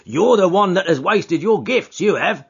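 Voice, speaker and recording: A man voicing a gruff, stubborn burly porter and former boxer who talks bluntly with rough grammar. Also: high voice, expressive, normal speed, voice pushed up, exaggerated voice. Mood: hearty. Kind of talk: audiobook character voice. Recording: dull lo-fi audiobook recording.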